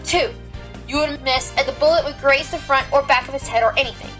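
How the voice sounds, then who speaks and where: Rabbit cop-turned-lawyer, female performer: high voice, earnest, stressed, audio drama, roomy distant sound. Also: brisk, a bit bright. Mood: assertive, arguing. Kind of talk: fan audio drama acting. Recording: echoey, off mic, music underneath.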